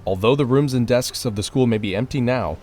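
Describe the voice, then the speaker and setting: A young American man reads scripted local news as a TV reporter. His pitch sits in an ordinary middle range, and the delivery is that of a prepared field report. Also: fairly quick, a little mellow, a little hiss or hum.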